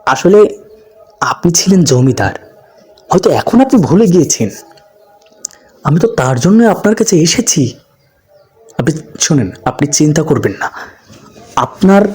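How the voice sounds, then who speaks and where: A man playing a sly, flattering village man in a cartoon, with an ingratiating, rambling way of talking. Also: fairly high pitch, lightly acted. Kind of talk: cartoon voice acting.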